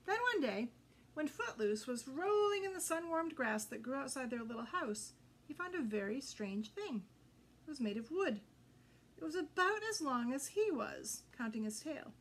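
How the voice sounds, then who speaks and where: An older woman reading a children's picture book aloud, expressive and addressing young listeners directly. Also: high-pitched, normal speed. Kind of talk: children's read-aloud storytelling. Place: indoors before a green backdrop. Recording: noticeable background noise.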